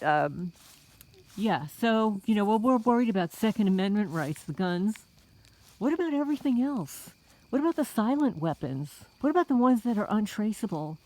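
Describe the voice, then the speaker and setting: A woman's voice: mid-range voice, a little mellow. A middle-aged woman from the Bronx talking to viewers in a casual, indignant vlog monologue full of rhetorical questions. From the Bronx, New York. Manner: indignant, rhetorical, addresses listeners as folks. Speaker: homesteading vlogger.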